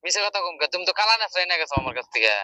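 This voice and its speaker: A man's voice: sits high, expressive, very fast, pushed and bright, no echo. A male shopkeeper with a rural Bangladeshi dialect, arguing in exasperation over the phone. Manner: exasperated, argumentative. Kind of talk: argumentative phone conversation.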